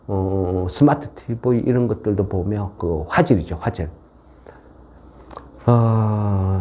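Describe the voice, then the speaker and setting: A man's voice: fairly low pitch, mellow. A man with a regional, non-Seoul South Korean dialect giving an informal explanatory market lecture in a folksy way, often tagging on rhetorical questions. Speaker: stock market commentator.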